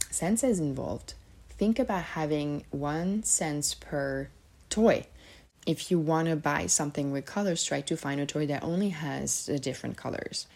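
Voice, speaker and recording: A woman from Serbia talks alone into a podcast microphone. Her voice sits low, and she rambles and corrects herself often, full of fillers. The tone is casual and conversational. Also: gravelly, a little mellow, slightly rough. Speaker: podcast host. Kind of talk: casual solo podcast talk.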